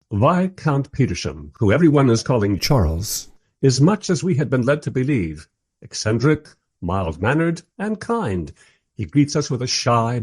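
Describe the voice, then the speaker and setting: An actor playing a bemused, wry filmmaker who narrates and interviews in a scripted radio drama. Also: male voice, medium pitch, darkish tone, husky.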